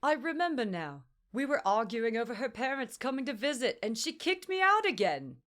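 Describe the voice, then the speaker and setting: A woman's voice: medium pitch, animated, normal speed, natural voice. A woman voicing a small, glib, talkative adult man with a slight accent in a storybook reading, breezy and wry. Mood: rueful. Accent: slight unplaced accent.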